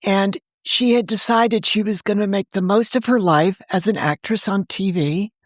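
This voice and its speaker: A woman telling a personal story as a podcast guest, warm and fondly reflective. Her voice sits in a middle range with a dry, close recording. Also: mid-range voice, moderate pace, no room sound. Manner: warm, fond, gently reflective. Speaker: guest, novelist and podcast creator. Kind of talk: conversational storytelling.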